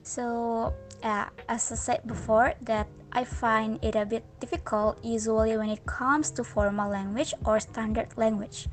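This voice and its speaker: A woman's voice: fairly high pitch, steady tone, unhurried, few pauses, soft background music. Young Indonesian woman answering carefully. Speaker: interviewee. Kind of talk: answering interview questions.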